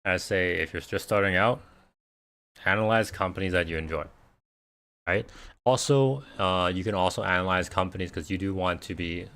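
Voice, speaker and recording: Low-voiced man chatting on a livestream. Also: normal speed, a bit bright. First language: Cantonese. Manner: rambling, conversational, many fillers and restarts. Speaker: investing livestream host. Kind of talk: casual live Q&A chat.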